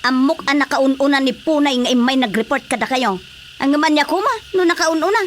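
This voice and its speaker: High-pitched gossipy Filipino village woman played by an actress. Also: fairly quick, background noise, natural voice. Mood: indignant reporting. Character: excitable gossiping village woman bringing complaints to the chairman. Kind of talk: Filipino radio comedy drama acting.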